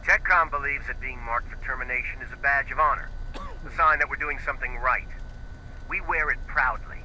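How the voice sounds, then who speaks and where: An actor playing a stern, authoritative resistance officer in a video game, speaking in a firm, formal military manner. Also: male voice, mid-range voice, moderate pace, bright, forceful tone, a little hiss or hum, rough recording. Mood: resolute. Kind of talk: dramatic video game acting.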